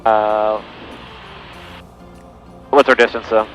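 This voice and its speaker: A man's voice: on the low side, flat delivery, very slow, dull audio, faint music behind. A man piloting, speaking in short casual replies over a cockpit headset intercom. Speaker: pilot at the controls. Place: inside a small airplane in flight.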